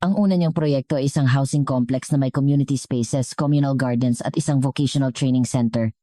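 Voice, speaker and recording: A woman with a Filipino accent narrating a scripted story in an earnest, measured way. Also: a bass voice, steady tone, dark tone, no echo, studio quality.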